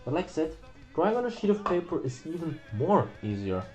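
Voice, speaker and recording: A man's voice: fairly high pitch, very animated, brisk, mellow, dull audio, soft background music. A young man with a German accent, a native German speaker, hosting a casual drawing tutorial at home on a webcam mic, rambling and correcting himself as he goes. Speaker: art tutorial host. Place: small room at home, indoors.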